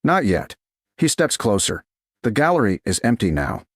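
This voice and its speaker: A man narrating a scripted romance story in a slow, dramatic storytelling style. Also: on the low side, sing-song, slightly rough, no room sound, clean studio sound. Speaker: audiobook narrator.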